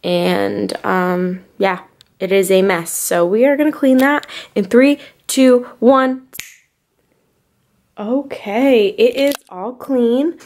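A woman's voice: on the high side, slow, a little mellow. Chatty young woman with a stuffy nose. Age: 20s. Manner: chatty, rambling, lots of "like" fillers. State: nasal from a cold. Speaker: vlogger. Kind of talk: casual vlog chat to camera. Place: small bedroom, indoors. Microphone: phone or camera at arm's length.

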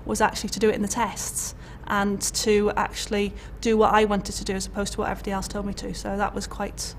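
A woman's voice: medium pitch, monotone, fast, slight background noise. Chatty Welsh woman, candid interview. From Wales. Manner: chatty, candid, many fillers and restarts. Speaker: college lecturer. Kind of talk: career interview. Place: indoor college room.

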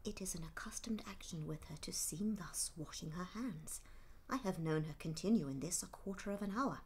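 An actress playing a discreet, watchful lady-in-waiting, hushed and breathy, in classical radio drama. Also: fairly low pitch, moderate pace, slight background noise, close to an everyday voice. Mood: hushed worry. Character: watchful, discreet gentlewoman attending a queen.

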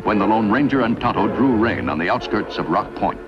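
A man's voice: lowish voice, animated, fairly quick, natural voice. A man narrating a 1950s TV western as a solemn storyteller. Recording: dull old TV soundtrack under music.

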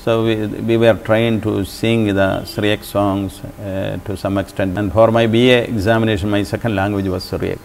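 A man in his seventies, with a Malayali English accent, talks slowly and thoughtfully, often pausing on an "uh". His low voice has a gravelly grain. He speaks into a lapel mic in a bright indoor room. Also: flat delivery, slight background noise. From Kerala, India. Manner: measured and thoughtful, frequent pauses with "uh". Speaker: interview guest, church leader. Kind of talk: reflective conversation.

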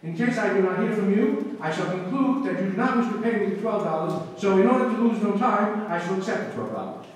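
A man doing a fast-talking shady lawyer. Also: high voice, natural voice. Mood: wisecracking. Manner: rapid wisecracking patter. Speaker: radio play actor. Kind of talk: live radio play recreation. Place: community meeting room with audience. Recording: echoey hall, mic far off.

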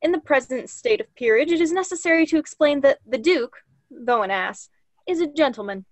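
A woman's voice: high-pitched, expressive, brisk, no room sound. A woman narrator reading stage directions in scripted narration for a play.

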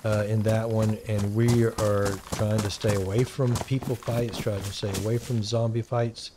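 A man with a Texan accent giving relaxed, rambling commentary and thinking aloud while he plays a survival game. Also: fairly low pitch, moderate pace, background noise. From Texas. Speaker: gaming channel host. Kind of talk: casual gameplay commentary.

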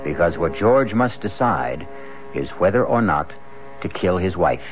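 Ominous radio mystery host, very low voice, dramatic narration, dull 1970s recording, music behind. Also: male voice, lively intonation, measured pace.

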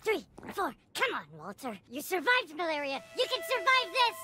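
A woman playing a small, frantic, squeaky melodramatic cartoon character. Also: sing-song, moderate pace, background noise. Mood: desperate.

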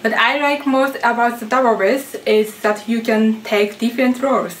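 A woman who plays double bass, explaining the roles her instrument takes. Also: fairly even intonation, moderate pace, a little hiss or hum. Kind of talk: talking about her instrument. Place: room at home.

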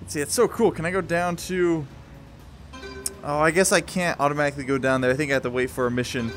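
A young man with a high voice hosts a gaming video in a casual, excitable way. He talks along as he plays and breaks into playful exclamations, with game music clearly audible behind him. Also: quick pace. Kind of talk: casual excited gameplay commentary.

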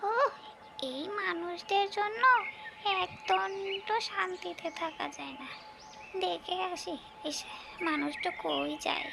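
Squeaky, creaky ghost woman. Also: steady tone, slow pace, background noise, exaggerated voice. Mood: grumbling, curious. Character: kind-hearted female shakchunni ghost watching over villagers. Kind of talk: Bengali cartoon character voice acting.

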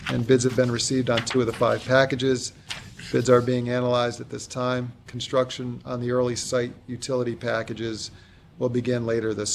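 An American man giving a formal, businesslike status report to a board, reading from notes a little haltingly. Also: mid-range voice. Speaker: construction executive reporting to a board. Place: large conference room with long table. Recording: clear background noise and clicks.

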